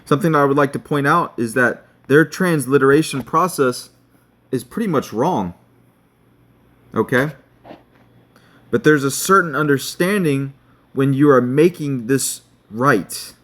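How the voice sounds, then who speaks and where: Slow-talking man teaching on screen. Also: mid-range voice, fairly bright tone. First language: not Hebrew. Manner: slow, checking in with "okay?". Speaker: online teacher. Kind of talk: screen-share tutorial.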